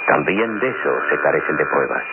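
An actor playing a grave, authoritative military general in an old radio serial, speaking formally and deliberately. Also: male voice, extremely low pitch, lively intonation. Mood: grave, worried. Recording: old radio recording, loud hum, muffled.

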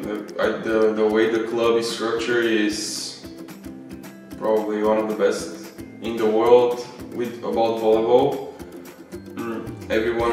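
A man's voice: fairly low pitch, recorded from a distance. A man in his twenties with a Bulgarian accent, a volleyball player talking casually about himself in an interview. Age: 20s. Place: beach outdoors and trophy room indoors. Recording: very echoey sound with music behind.

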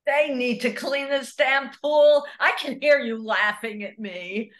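A woman playing a feisty, quick-tempered Italian-American aunt in a script table read. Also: sits high. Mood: grumbling. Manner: combative, blunt. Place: indoors at home, on a video call.

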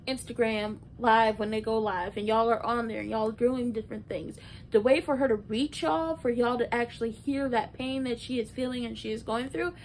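A woman in her early twenties giving casual, opinionated and emphatic recap commentary, talking conversationally like a video blogger. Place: small bedroom, indoors. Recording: noisy room with low rumble.

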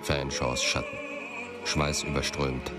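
A man's voice: a bass voice, level tone, takes it slowly, over music. An actor playing a brooding, obsessive man, giving a grim, controlled, intimate inner monologue in a radio drama. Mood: dark, obsessive. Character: brooding, obsessive man narrating his dark thoughts.

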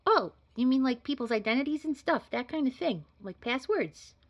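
A woman's voice: high-pitched, animated, moderate pace, highs cut off. A woman playing an earnest, modest job candidate in an audio drama, talking in a chatty, hesitant, polite way. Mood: nervous, earnest. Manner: hesitant, rambling, informal, polite. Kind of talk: naturalistic scripted audio drama dialogue.

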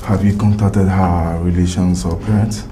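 An actor playing a calm, reassuring doctor speaking with a colleague in a clinic. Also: male voice, sits low, normal speed, lightly acted. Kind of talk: Nollywood film acting. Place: indoor clinic room. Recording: roomy clinic sound with music.

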